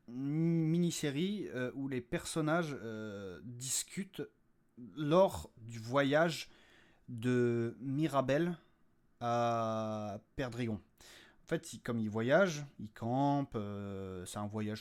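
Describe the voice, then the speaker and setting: A man talking informally and unscripted as a podcast host, hesitant and jokey, often repeating words as he searches for them. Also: mid-range voice. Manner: jokey, crude slang. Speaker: creator and host of a fiction audio podcast. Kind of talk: informal unscripted update to listeners.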